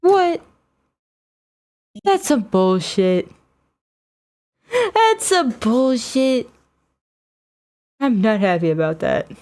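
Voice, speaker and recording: A woman's voice: fairly high pitch, very animated, very slow, long pauses, a little mellow. A woman giving casual live commentary as a game streamer, exasperated and prone to mild swearing.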